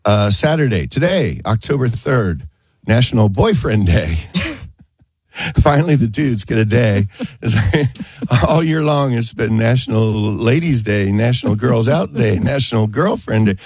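A man's voice: medium pitch, expressive, unhurried, a little mellow, husky, dry recording. A man hosting a gardening radio show while fighting a head cold, chatting in a rambling, joking way in a studio. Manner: rambling, jokey, chuckles at his own quips. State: has a head cold, stuffy. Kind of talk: casual early-morning radio chat.

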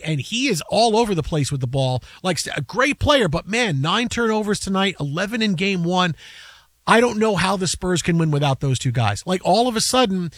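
A man hosting a sports talk show, arguing a basketball playoff game in emphatic, quick run-on sentences with repeated "right?". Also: high voice, a bit bright, no echo. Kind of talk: basketball analysis chat.

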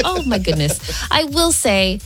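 A woman in her forties co-hosting a morning radio show, giggly and quick to apologize. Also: a little high, very animated, fairly bright tone, slightly rough, soft background music. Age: 40s. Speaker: radio co-host. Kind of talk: casual morning radio banter.

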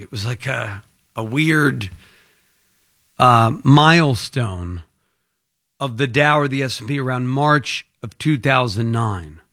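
A man's voice: medium pitch, fairly bright tone. A man hosting a financial advice show, talking unscripted in a rambling, conversational way as if thinking aloud.

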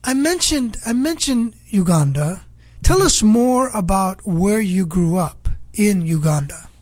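Woman, host, slow delivery, dry close sound, gentle questions. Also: mid-range voice, expressive, husky, no room sound. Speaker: talk-show host. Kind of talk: talk-show interview.

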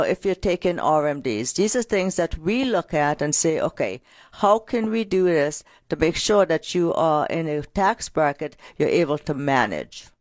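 A woman co-hosting a financial radio show, telling client stories in an energetic, talkative way. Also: low-pitched, no echo. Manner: energetic, storytelling with client anecdotes. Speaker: radio co-host, financial advisor. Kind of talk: casual radio finance talk.

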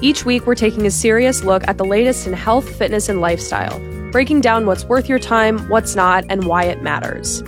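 A woman's voice: mid-range voice, moderate pace, over music. A woman narrating a scripted promo for a wellness podcast.

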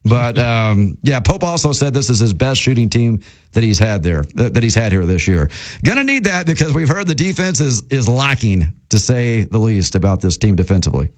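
A man co-hosting a sports call-in radio show, chatting casually about games and taking calls. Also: mid-range voice. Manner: lively, also reads ad copy quickly.

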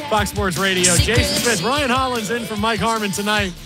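A man hosting a sports talk radio show and running a quiz game, brisk and joking. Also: high voice, over loud music.

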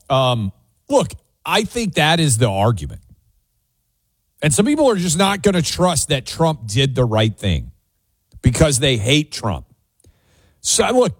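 An American man hosting a call-in radio show, giving opinionated commentary in a conversational, assertive, deliberate way. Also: medium pitch, dry recording, studio quality. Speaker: talk radio host.

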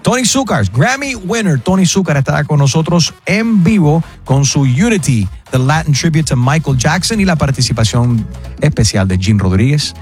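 A man hosting a radio show, reading event promos quickly and teasing callers and guests. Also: a little high, very animated, faint music behind. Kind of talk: lively radio chat.

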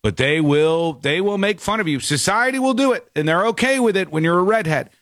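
A man with a voice pitched a little high talks in a blunt, animated way, cracking jokes and swearing now and then. The sound is close and dry, with almost no room in it. He sounds like a host riffing in casual chat. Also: fairly quick, no echo.

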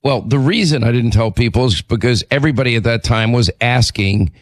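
Hoarse, raspy radio host, dry studio sound. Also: male voice, mid-range voice, fairly quick, no echo. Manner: emphatic, repetitive, combative. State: hoarse with laryngitis, clearing throat. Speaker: radio talk show host. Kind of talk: talk radio chat. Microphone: studio microphone.

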